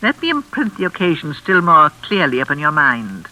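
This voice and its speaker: An actress playing a menacing, unhinged older mistress of a crumbling hall, honeyed and insistent, in classic radio suspense style. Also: female voice, low-pitched, sing-song, bright, forceful tone, heavily acted. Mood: veiled menace. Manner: theatrical, honeyed and insistent, with deliberate repetition.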